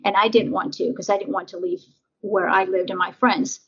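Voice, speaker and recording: A husky woman with a gravelly, fry-laden voice, telling her life story in an interview. She talks in halting, reflective bursts full of fillers, and the recording sounds dull and lo-fi. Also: medium pitch, steady tone, fairly bright tone. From Vietnam, raised in Nebraska. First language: English; Vietnamese basic. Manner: halting, many fillers and restarts. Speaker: interview guest. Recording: dull lo-fi audio.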